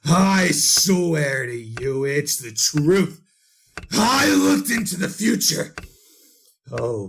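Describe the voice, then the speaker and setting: An actor playing a frantic condemned murderer telling his story in a retro radio drama, halting and repeating himself. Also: medium pitch, gravelly, fairly bright tone, slight background noise, exaggerated voice. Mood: bitter, desperate. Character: frantic condemned murderer recounting witnessing his own execution.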